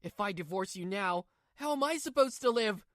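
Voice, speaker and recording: A woman's voice: mid-range voice, lively intonation, normal speed, a bit bright, no room sound, clean studio sound, lightly acted. A woman voicing a weak, cheating husband in a manga dub, stammering and pleading.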